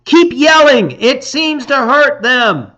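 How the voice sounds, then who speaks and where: A man's voice: very high voice, animated, slow pace, pushed and bright, muffled recording, pitched above the performer's own voice, exaggerated voice. A man voicing a bold, alert young hero in a storybook adventure. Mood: urgent shouting.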